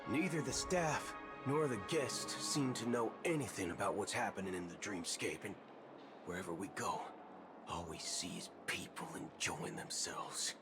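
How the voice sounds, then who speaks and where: A man's voice: fairly low pitch, moderate pace, over music, natural voice. A man voicing a cocky, scheming robot cowboy drifter with a drawl and comic mock-swearing in a video game. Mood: pensive. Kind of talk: video game dialogue acting.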